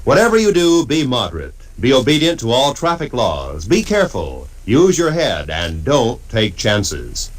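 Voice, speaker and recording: Animated old-time male radio announcer. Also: medium pitch, measured pace, hardly any pauses, low-quality recording. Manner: formal, emphatic.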